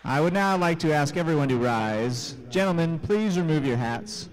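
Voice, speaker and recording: A man making formal public address announcements before a college volleyball match, speaking in a measured way. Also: a little high, animated, low-quality recording.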